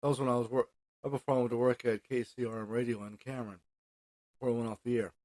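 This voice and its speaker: Male American podcast host, mid-pitched, halting informal delivery, very dry recording with no room sound. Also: flat delivery, a little mellow, dry recording. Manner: halting delivery with frequent hesitations and self-corrections. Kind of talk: informal solo podcast monologue with news and sports recap.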